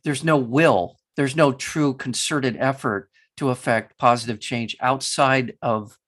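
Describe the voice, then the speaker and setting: A man hosting an interview, speaking passionately and haltingly with many restarts. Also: mid-range voice, fairly bright tone. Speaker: interview host. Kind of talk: interview conversation.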